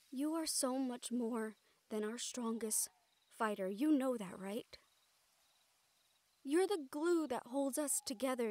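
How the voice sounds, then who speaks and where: Higher-voiced, tender woman playing a supernatural mentor. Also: darkish tone, dry recording. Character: caring, encouraging supernatural woman, a siren-banshee mentor. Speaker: audio roleplay performer. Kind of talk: intimate audio roleplay acting.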